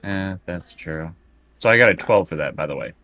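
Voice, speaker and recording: A man's voice: sits low, animated, moderate pace, sharp tone, no echo. A man chatting casually as a tabletop roleplaying player, thinking aloud and working through his choices bit by bit.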